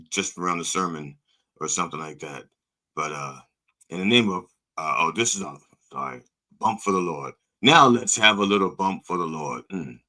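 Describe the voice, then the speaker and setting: A hoarse man with a deep, low voice that still comes through bright and forceful. He rambles through a mock sermon, swearing freely and breaking off mid-thought to start again. It sounds like a casual podcast from a home setup. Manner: rambling, profane, self-interrupting. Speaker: comedic podcast host playing a reverend.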